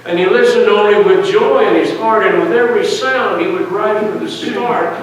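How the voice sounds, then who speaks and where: A man reading a narrative poem aloud like a storyteller at a church service, deliberate and pausing between short phrases. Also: sits high. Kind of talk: dramatic poetry reading, storytelling. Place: large church hall, heard from a distance. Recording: distant, echoey church hall, faint noise.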